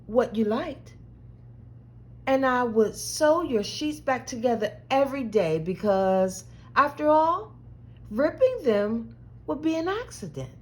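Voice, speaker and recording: A woman reading the part of a loving, patient mother in a picture book, tender, soothing and expressive. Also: high voice, rough recording.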